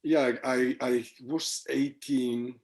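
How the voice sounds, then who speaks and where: A man's voice: medium pitch, steady tone, takes it slowly, darkish tone. A man from Spain, a guest on a video call from home who answers thoughtfully and searches for his words. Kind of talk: casual conversation.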